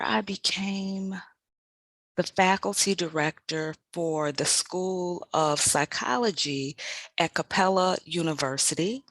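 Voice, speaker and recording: A woman in her fifties, a podcast host, reflecting in a measured, deliberate way and repeating phrases for emphasis. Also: on the low side, gravelly, dry recording, low-quality recording. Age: 50s. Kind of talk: reflective personal monologue.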